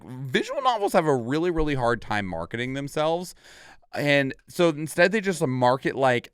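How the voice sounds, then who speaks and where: Clear, lively man reacting. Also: mid-range voice, no room sound, clean studio sound. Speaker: reaction video host. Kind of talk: casual reaction commentary.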